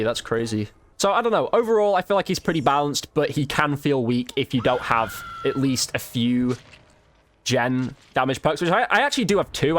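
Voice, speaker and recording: A man's voice: medium pitch, a little hiss or hum. A man giving casual gameplay commentary, informally thinking aloud as he plays. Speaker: gaming video creator.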